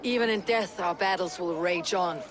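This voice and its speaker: An actress playing a tough, world-weary Viking warrior in a video game, terse, dry and defiant. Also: female voice, fairly low pitch, normal speed, crowd noise, noisy background, close to an everyday voice. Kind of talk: video game dramatic dialogue.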